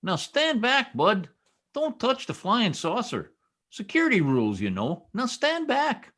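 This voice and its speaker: A man's voice: high-pitched, wildly swooping pitch, unhurried, fairly bright tone, full character voice. Man as a cocky small-town blowhard husband, slangy, folksy, hugely swooping delivery, radio comedy. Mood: officious. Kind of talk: old-time radio comedy acting.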